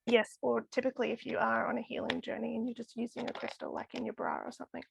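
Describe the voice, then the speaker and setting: A woman's voice: fairly high pitch, flat delivery, fast talker, gravelly, slight background noise, poor audio. A woman losing her voice, talking enthusiastically about crystal healing as a podcast guest. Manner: enthusiastic, chatty with frequent laughs. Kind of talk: casual podcast interview.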